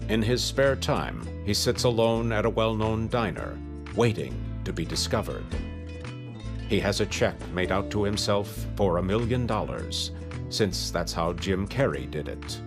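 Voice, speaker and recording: Male spoof-ad announcer, lowish voice, deadpan yet animated in pitch, plucked guitar music behind. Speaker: commercial announcer. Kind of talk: mock-grand parody ad narration.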